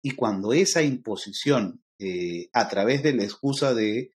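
A man with a Peruvian accent, a guest lecturer explaining ideas thoughtfully in a podcast interview, often pausing on fillers. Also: medium pitch, lively intonation. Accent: Peruvian Spanish. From Peru.

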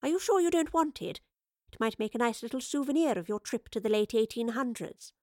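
A woman's voice: on the high side, expressive, fairly quick, darkish tone, dry recording. A woman voicing a smug, amused lady professor with a dry, teasing manner in an audiobook story. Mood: mock comforting.

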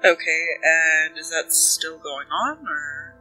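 A businesslike female detective, played by a woman at a gaming table, with a bright, forward voice. She probes methodically and keeps a measured manner, over soft background music. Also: sits low, fairly even intonation, breathy edge, heavily acted, low-quality recording. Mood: probing, calm.

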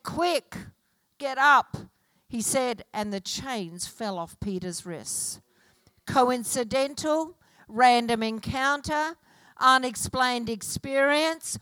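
A woman preaching a sermon to a congregation, slow and emphatic, building her points through repeated phrases. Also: high-pitched, a bit bright, dry recording, rough recording. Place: church hall.

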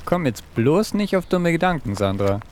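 A man's voice: fairly high pitch, big pitch swings, moderate pace, a little mellow, a little hiss or hum. A man co-hosting a children's science podcast, performing as himself in a scripted audio play. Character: himself turned into a reluctant pink pig. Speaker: podcast co-host.

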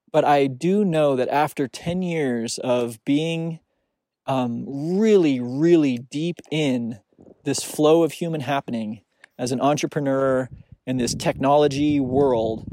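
Male podcast host with a soothing, slightly high voice; reflective solo talk, halting with restarts, dry close recording. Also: warm tone, no room sound. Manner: halting, searching, with many restarts and fillers. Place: outdoors on a snowy mountain hike.

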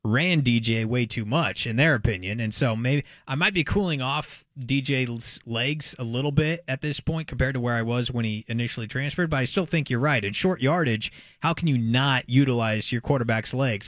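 A man with an American accent and a mid-pitched voice discusses sports as a talk host. He reasons aloud in a casual, conversational style. The recording is very dry, free of room sound. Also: dry recording.

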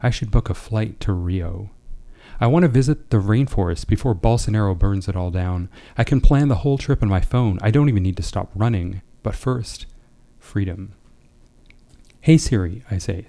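A man's voice: on the low side, expressive, moderate pace, vocal fry, mellow. A man speaking as a writer, in an understated way, reading or discussing his own short fiction. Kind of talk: literary reading, then casual discussion.

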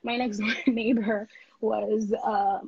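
Breathy young woman from Tanzania. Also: mid-range voice, level tone, slow, darkish tone, dry recording, highs cut off. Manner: reflective, conversational, frequent "you know". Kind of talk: casual discussion.

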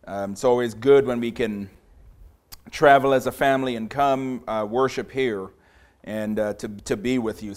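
A man in his forties from Trinidad delivers a sermon in a small room. His voice is big and passionate, and he speaks with frequent pauses and fillers as he builds up steam. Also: mid-range voice. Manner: passionate, grows louder as he preaches. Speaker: visiting preacher.

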